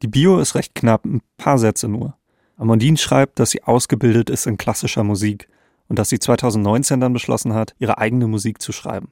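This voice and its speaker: Gravelly male podcast narrator, dry sound. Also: mid-range voice, fairly even intonation, a little fast, darkish tone, dry recording. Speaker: podcast co-host. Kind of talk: scripted conversational narration. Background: faint background music.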